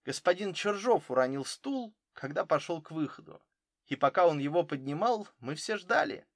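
A man's voice: a little high, expressive, normal speed, no room sound, studio quality. A man voicing a careful, literal German footballer in his thirties, giving witness answers in an audiobook. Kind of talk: audiobook character dialogue.

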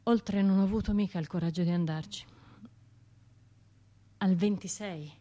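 A woman's voice: mid-range voice, fairly even intonation, normal speed, warm tone, natural voice. An actress playing a bitter, sardonic, grieving woman with a Tuscan accent in a colloquial radio drama monologue. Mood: mournful, reflective. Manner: colloquial, sardonic, acting out other people's remarks. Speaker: stage and radio actor.

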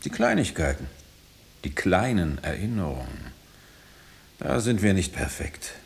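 Radio drama: haunted engineered man, low register, very animated, urgent, fractured phrasing. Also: creaky, full character voice. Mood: probing, cryptic. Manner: halting, insistent, breaking off sentences. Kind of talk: German radio drama acting.

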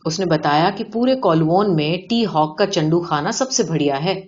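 A woman's voice: on the low side, animated, natural voice. A woman reading the part of a rich, boastful male gambling-den boss in a story, showy, blunt and colloquial. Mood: proud, offering. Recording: dull lo-fi audio.

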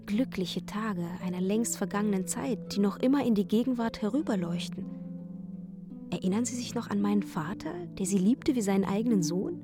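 A woman's voice: medium pitch, natural voice. A woman playing a tender, nostalgic young opera singer who voices her letter formally and wistfully in a radio drama. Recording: harp music under the voice.

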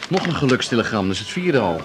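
An actor playing a wry, teasing musician bridegroom in a radio drama, with a Netherlands Dutch accent. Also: male voice, mid-range voice, sing-song, fast talker, over music, natural voice. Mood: casual, wry. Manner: wry, mocking, repeats words.

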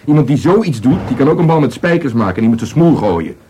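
A man's voice: mid-range voice, very animated, fast, warm tone, slight background noise, natural voice. An actor playing a blunt, insistent police detective in a radio drama, pushing his view on his superior.

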